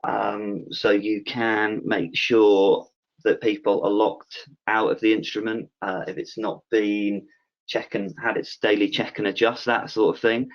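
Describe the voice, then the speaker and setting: A man hosting an online Q&A with a panel, reading out viewers' questions in a relaxed way with lots of "um"s. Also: fairly low pitch, unhurried. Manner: relaxed, filler-heavy, reads out questions. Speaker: webinar host. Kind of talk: casual Q&A moderating. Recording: dull lo-fi call audio.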